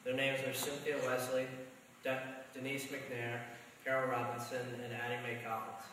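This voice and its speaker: A noisy, heavily reverberant chapel surrounds this young man's voice. He is a student reading historical narration from a script, with very little variation in pitch. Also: monotone, normal speed, a bit bright. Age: young adult. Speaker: student reader. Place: large school chapel. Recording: echoey hall, distant mic, clear noise.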